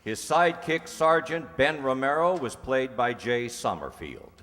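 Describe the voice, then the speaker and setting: A man's voice: mid-range voice, lively intonation, measured pace, fairly bright tone. A man acting as master of ceremonies, making theatrical, jocular curtain-call introductions to a live audience. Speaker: troupe announcer and master of ceremonies. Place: hall with an audience. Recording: echoey hall, noisy live audience.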